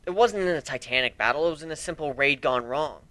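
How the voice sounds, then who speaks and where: Male amateur storyteller, lighter register, very expressive first-person dramatic read. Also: a little high, fast talker, no room sound. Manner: expressive, animated, with slips and restarts. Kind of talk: dramatic reading of first-person fan fiction.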